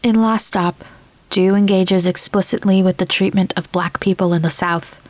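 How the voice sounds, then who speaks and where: A woman hosting a podcast, reading a scripted introduction in a measured, articulate way. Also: mid-range voice, steady tone, darkish tone, no room sound. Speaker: podcast host.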